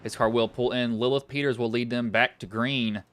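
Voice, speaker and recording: A man's voice: medium pitch, steady tone, moderate pace, a bit bright, slight background noise. A man with a Southwest Virginian accent doing casual play-by-play commentary on an online sim race, rambling and correcting himself as he goes. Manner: rambling, self-correcting, talks to himself between calls.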